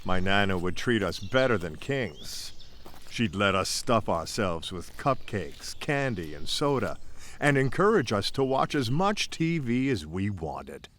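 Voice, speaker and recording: A man's voice: lowish voice, big pitch swings, a little slow, a bit bright, a little hiss or hum. A man narrating a scripted first-person story in a theatrical, folksy, playful manner. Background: music bed.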